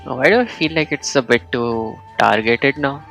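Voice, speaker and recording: A man giving casual, halting gameplay commentary as a streamer, musing in broken phrases. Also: medium pitch, vocal fry, fairly bright tone, soft background music. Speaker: gaming streamer.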